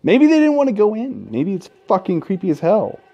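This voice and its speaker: A man's voice: sits high, huge pitch swings, fairly quick, mellow, lo-fi sound. A man hosting a let's play in an informal, sweary way, mixing casual gaming commentary and asides with game text read aloud.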